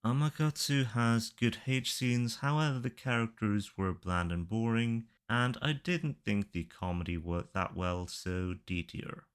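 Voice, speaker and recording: A man narrating opinionated reviews in a matter-of-fact, measured way, as a video creator. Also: fairly low pitch, smooth. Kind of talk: opinionated review commentary, semi-scripted narration.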